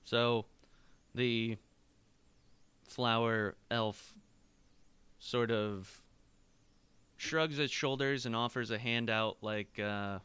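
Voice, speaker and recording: A man runs a tabletop game in a bright, very crisp voice, close and dry. He describes each gesture slowly and haltingly, step by step. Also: mid-range voice, fairly even intonation, dry recording. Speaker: game master. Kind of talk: improvised tabletop game narration.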